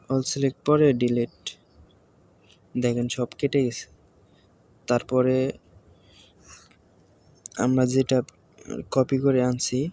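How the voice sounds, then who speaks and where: Flat-voiced Bangladeshi man explaining step by step. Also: medium pitch. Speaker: tutorial presenter. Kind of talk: informal screen-recording tutorial narration. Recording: home recording with faint background noise.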